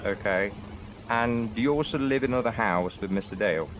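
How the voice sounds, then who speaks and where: A man cross-examining as a defense lawyer, his voice on the low side and formal, with frequent uhs. Clear background noise runs behind the game voice chat. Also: a little fast. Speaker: defense lawyer in a role-play court.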